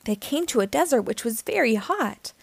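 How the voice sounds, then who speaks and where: Woman, children's storytime reader, high voice, very varied intonation, expressive, deliberate. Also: creaky, slightly rough, dry recording. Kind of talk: children's picture book read-aloud.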